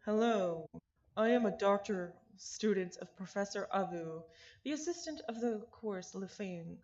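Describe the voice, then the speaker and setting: Woman as young male teaching assistant, visual novel, measured, courteous, reassuring, slightly muffled recording. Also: mid-range voice, darkish tone, close to an everyday voice. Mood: polite, reassuring.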